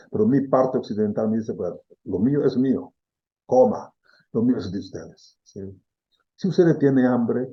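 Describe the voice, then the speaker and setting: Gravelly husky-voiced Congolese professor. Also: male voice, medium pitch, highs cut off. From Democratic Republic of the Congo. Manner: thoughtful, repeats key words, frequent "¿sí?" check-ins. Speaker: philosophy professor, interview guest. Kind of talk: reflective explanation.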